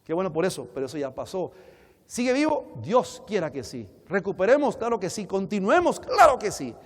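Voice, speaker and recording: A Colombian man preaches in a big reverberant hall, his voice pitched a little high. He speaks like a seminar teacher, talking directly to his listeners. He leans on rhetorical questions and slips into acted-out dialogue. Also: very animated, a little fast. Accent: Colombian Spanish. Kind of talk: sermon-like seminar teaching to an audience. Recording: echoey hall with long reverb.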